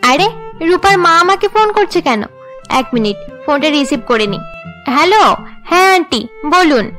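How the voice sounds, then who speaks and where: A woman's voice: high voice, expressive, moderate pace, fairly bright tone, soft background music, voice pushed up. A woman voicing a chatty, politely evasive young friend in a cartoon. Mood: surprised. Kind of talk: Bengali cartoon dialogue.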